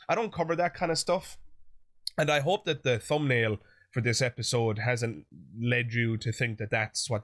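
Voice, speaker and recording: A man's voice: mid-range voice, a bit bright. A man hosting his own channel, talking straight to viewers in a chatty commentary style while sounding chesty and sniffly from a chest infection. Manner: chatty, direct address to viewers. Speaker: YouTube channel host. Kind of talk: opinion commentary monologue.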